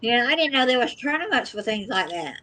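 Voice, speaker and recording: An easygoing streamer joins a casual live chat, talking loosely about games and greeting viewers. The voice is bright and forceful, at a middling pitch. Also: sing-song, quick pace.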